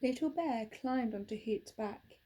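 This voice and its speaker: Young woman gently reading a picture book. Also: fairly high pitch, measured pace, a little hiss or hum. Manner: gentle, expressive. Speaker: teacher reading aloud. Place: small room indoors.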